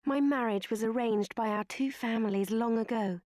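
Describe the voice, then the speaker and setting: Woman playing a high-voiced British princess. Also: moderate pace, darkish tone, dry recording, clean studio sound, close to an everyday voice. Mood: heartfelt resolve. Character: earnest, refined young princess, gentle but determined. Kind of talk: video game voice acting.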